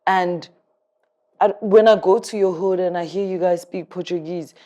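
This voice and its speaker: Earnest woman, lowish voice. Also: fairly even intonation, normal speed, mellow, highs cut off. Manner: earnest, emphatic, repeats words for stress. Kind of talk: casual chat.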